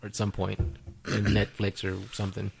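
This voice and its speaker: A man's voice: on the low side, completely flat delivery, normal speed, vocal fry, slight background noise. A man co-hosting a podcast, stoned and joking around in a crude, giggly way. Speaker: podcast co-host. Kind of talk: crude casual chat.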